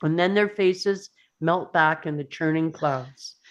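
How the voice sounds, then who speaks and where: A woman's voice: sits low, takes it slowly, dull audio. A woman narrating scripted stage directions for a screenplay read.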